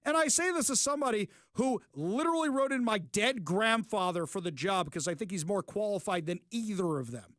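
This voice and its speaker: Male talk radio host, middle-aged, high pitch, combative and emphatic. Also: expressive, brisk, few pauses, fairly bright tone, no echo, studio quality. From Seattle. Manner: emphatic, combative, punchy short declarations. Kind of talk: opinionated talk radio commentary. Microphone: studio microphone.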